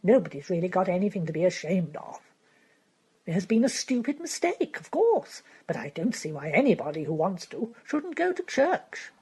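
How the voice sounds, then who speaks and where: A woman voicing a kindly middle-aged woman who speaks in a brisk, reassuring way. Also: lowish voice, sing-song, a little mellow, husky, heavily acted.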